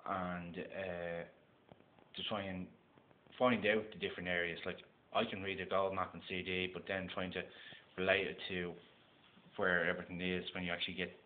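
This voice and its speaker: A Dublin Irish man talks in a deep, monotone voice, stopping and restarting often as he explains things at a table indoors. The sound is thin and phone-like, with a faint hiss behind it. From Dublin, Ireland. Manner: halting, many fillers and restarts. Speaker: gold prospecting vlogger. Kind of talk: casual instructional talk to camera. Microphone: phone camera. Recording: tinny lo-fi phone recording.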